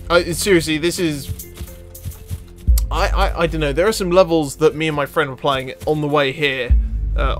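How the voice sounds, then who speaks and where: Male gamer, unhurried and slightly high-pitched, casual play-by-play with an excitable streak, over prominent music. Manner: excitable exclamations, sweary banter. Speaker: gaming video creator. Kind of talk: casual gameplay commentary. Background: game music.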